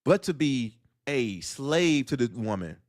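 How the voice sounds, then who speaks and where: A man's voice: mid-range voice, big pitch swings, a little slow, no room sound, clean studio sound. A man hosting a solo audio livestream, delivering a blunt, preachy monologue that fires off rhetorical questions. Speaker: livestream host.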